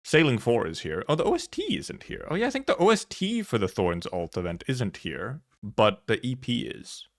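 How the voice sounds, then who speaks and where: A man's voice: mid-range voice, huge pitch swings. Casual, rambling male live streamer. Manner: rambling, halting, thinking aloud; casual swearing. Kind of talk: casual chat, reacting to viewers.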